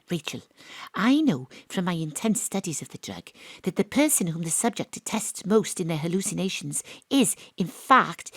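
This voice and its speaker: An actress playing a sharp, knowing female private detective in an audio drama, confident and probing as she lays out her case. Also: medium pitch, dry recording, clean studio sound, natural voice. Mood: consoling. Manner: confident, probing, coolly persuasive. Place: home studio.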